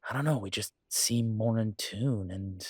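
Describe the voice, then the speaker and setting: A man playing a conflicted, protective werewolf pack leader in an intimate audio roleplay, speaking hesitantly and reflectively with halting pauses. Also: fairly low pitch, husky, dry recording, studio quality.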